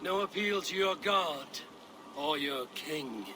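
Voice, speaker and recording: An actor playing a resolute, honorable Viking warrior leader in a video game, commanding, measured and blunt. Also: male voice, on the high side. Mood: stern. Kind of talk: dramatic video game acting. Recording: clear ambient background noise.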